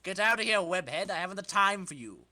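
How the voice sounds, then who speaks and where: A man puts on a petulant comic-book villain who exclaims everything in frantic melodrama. The voice is pushed high and shrill, with swooping pitch and a bright, forceful edge. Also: expressive, fast talker, no room sound, heavily acted. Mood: irritated, protesting. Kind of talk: amateur dramatic comic-book reading.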